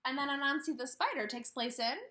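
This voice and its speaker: A woman in her thirties giving a kindergarten read-aloud lesson, speaking with emphasis and pausing after questions as if for children to answer. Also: sits high. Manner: animated, asks questions and pauses for answers, slow emphatic phrasing. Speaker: kindergarten teacher. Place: small indoor room with brick wall.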